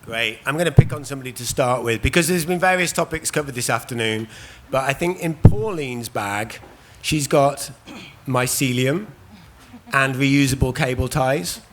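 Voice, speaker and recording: Man, hoarse voice, panel host, chatty, filler-heavy, faint hall noise. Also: medium pitch, moderate pace, fairly bright tone. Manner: loose and chatty, with many ums and restarts. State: hoarse, losing his voice. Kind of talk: hosting a live conference panel. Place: conference stage at a trade show.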